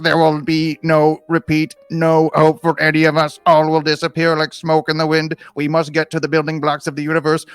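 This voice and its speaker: Man acting as squeaky mechanical robot leader, urgent, grave, clipped commands, storybook game character, raised pitch, music behind. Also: fairly bright tone, smooth, no room sound, lightly acted.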